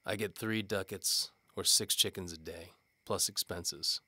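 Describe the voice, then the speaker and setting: A man playing a cynical, world-weary knight-for-hire detective, narrating a hardboiled noir audio drama in a deadpan, wisecracking way. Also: sits low, measured pace, breathy edge, no echo, exaggerated voice. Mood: businesslike, sardonic.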